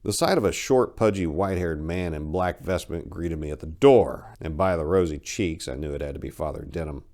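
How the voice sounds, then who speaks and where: A man giving a theatrical, measured dramatic reading of a literary short story as its narrator. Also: extremely low pitch, darkish tone.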